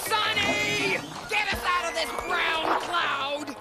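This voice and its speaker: Very high cartoon character, loud music. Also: female voice, slow pace. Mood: urgent. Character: shrill cartoon character calling for help. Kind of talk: cartoon voice acting.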